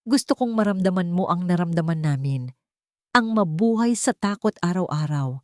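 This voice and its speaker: A woman voicing a bitter, vengeful elderly nanny in a story, shrill and venomous. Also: lively intonation, moderate pace, a little mellow, dry recording, studio quality, close to an everyday voice. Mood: furious, bitter.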